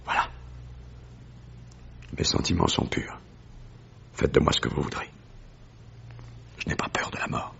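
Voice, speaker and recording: A man's voice: fairly bright tone, in a whisper, natural voice. A man acting a grim, righteous explorer who takes revenge, with grave, theatrical delivery in a French radio drama. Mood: grave, resolute. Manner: grave, deliberate, theatrical confession with bursts of fury. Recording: dull, lo-fi old radio recording.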